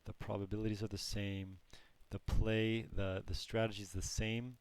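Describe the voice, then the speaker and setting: A man who is losing his voice, teaching a trading lesson in a slow, halting way with frequent restarts. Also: lowish voice, level tone, clean tone. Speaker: trading course instructor. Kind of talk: screen-recorded trading lesson.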